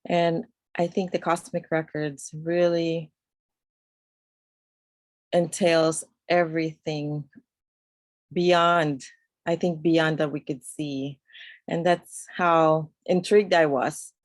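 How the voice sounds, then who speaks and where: A woman with a low voice talks as a guest over a video call. She is reflective and pauses to find her words. The sound is dry and close. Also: flat delivery, no room sound. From New York.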